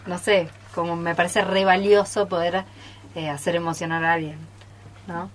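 A woman's voice: fairly low pitch, flat delivery, normal speed. An Argentine woman chatting informally and expressively in a group interview in a radio studio. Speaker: radio theatre group member. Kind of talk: casual group interview chat.

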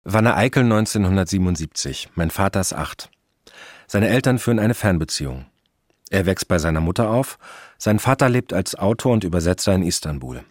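Deep-voiced man narrating, dry and close. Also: animated, no room sound. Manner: matter-of-fact, measured. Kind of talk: scripted narration.

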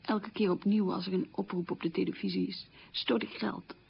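Middle-aged woman, very raspy, gravelly fry, confiding radio play monologue, frugal and haunted. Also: medium pitch, little pitch movement, moderate pace, exaggerated voice. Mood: earnest, confessing. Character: frugal divorced woman haunted by wartime poverty. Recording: dull lo-fi old radio recording.

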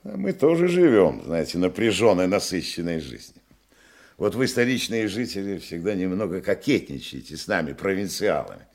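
Chatty, husky provincial gentleman. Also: deep voice, very animated, moderate pace, exaggerated voice. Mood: chatty, self-assured. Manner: chatty, exclamatory, slightly pompous. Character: talkative, cultured provincial male hotel guest, eagerly agreeable. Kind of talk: Russian radio play acting.

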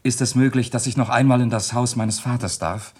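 An actor playing a polite but insistent bereaved son in a German radio crime drama, a little hesitant. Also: male voice, medium pitch, steady tone, a little fast, slightly rough, lightly acted. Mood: subdued, pleading.

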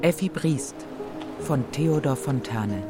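Radio announcer doing credits, slow delivery, music really loud behind. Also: mid-range voice, expressive.

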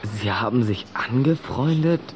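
Cautious young boy, very low for a woman, lively intonation, worried, radio play. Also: a little slow, noisy background. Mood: worried, surprised. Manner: hesitant, questioning, worried. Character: timid, curious human boy, cautious and polite. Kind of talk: German radio play acting.

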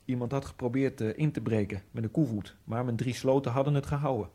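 A man's voice: medium pitch, quick pace, mellow. Man narrating a brooding radio monologue. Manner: brooding, dreamy inner monologue with sudden bursts. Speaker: Egyptian immigrant narrator.

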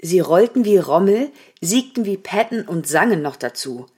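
A woman's voice: lowish voice, expressive, moderate pace, darkish tone, breathy edge. An actress in a radio play, playing a woman who reads a report aloud in a naturalistic way. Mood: reading aloud. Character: woman reading a magazine report aloud to Kai. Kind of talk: naturalistic radio drama acting.

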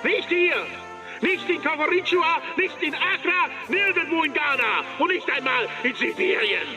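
An actor playing a pompous, boastful police chief who declaims a public speech in a radio drama. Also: male voice, very high voice, lively intonation, a little slow, music in the background. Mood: bombastic, defiant. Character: pompous, boastful male Ghanaian police chief addressing the press.

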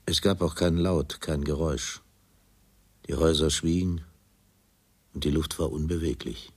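An actor playing a grave, detached literary narrator in a radio drama, speaking in a measured, deliberate way. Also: male voice, rumbling low, steady tone, breathy edge, exaggerated voice. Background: music.

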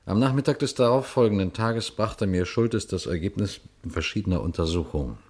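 An actor playing a composed, shrewd police inspector who narrates and questions matter-of-factly in a radio crime play. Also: male voice, fairly low pitch, expressive, a little mellow. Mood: measured, observant. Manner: matter-of-fact, measured, inquisitive. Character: composed, shrewd male police detective inspector telling his case. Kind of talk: German radio crime play, first-person narration and dialogue.

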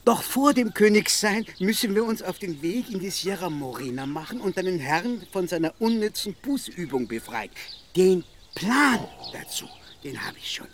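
An actor playing a courteous, scheming but well-meaning village priest in a radio play, talking in an instructive way. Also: male voice, high voice, animated, normal speed, a little hiss or hum. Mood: flattering. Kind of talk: German radio play acting.

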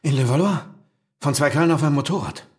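Fast-talking brusque detective played by a man. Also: fairly high pitch, over music, close to an everyday voice. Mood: urgent, shaken. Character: brusque, aggressive male police detective. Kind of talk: German crime radio drama acting.

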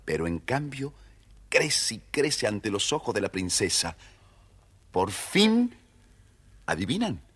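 Children's record narrator: man, very low register, husky, expressive storytelling. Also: slow, pauses often.

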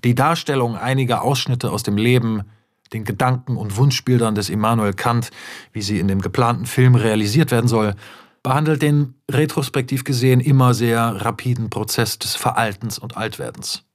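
Man, medium pitch, measured pace, literary scripted radio narration. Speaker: narrator reading screenplay and essay.

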